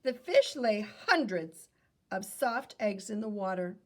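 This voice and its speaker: A woman reading a children's nonfiction book aloud in a teacherly way, pausing for questions and small self-corrections. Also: mid-range voice, very animated. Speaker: read-aloud reader and teacher.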